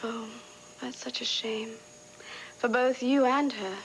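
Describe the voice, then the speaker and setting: A woman's voice: on the high side, fairly even intonation, takes it slowly, low-quality recording. An actress playing a scheming woman who coaxes, pleads and makes veiled threats in a daytime soap scene. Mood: threatening. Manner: silky, coaxing, veiled threats. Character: scheming woman pressing for a hotel job.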